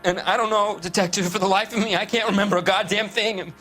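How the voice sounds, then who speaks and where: An actor playing a shaken, guilt-ridden murder suspect who speaks in halting, broken phrases in a dramatic game. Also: male voice, sits high, steady tone, pushed and bright, soft background music. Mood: anguished. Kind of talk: dramatic video game acting.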